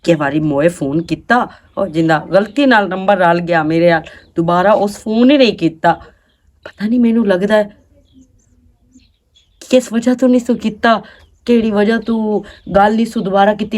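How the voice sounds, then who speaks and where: A woman's voice: medium pitch, moderate pace, a little mellow, close to an everyday voice. Woman playing a coy, bossy village girl. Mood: wistful, teasing. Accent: Pakistani Punjabi. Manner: teasing, scolding, colloquial. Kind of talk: rural comedy skit acting.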